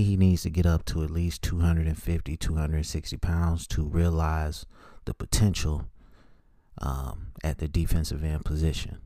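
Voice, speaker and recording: Deep, mellow-voiced man talking football. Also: no room sound, studio quality. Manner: plainspoken, opinionated, clipped note-like phrases. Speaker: host of a sports opinion show. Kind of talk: solo football scouting commentary, part read from notes.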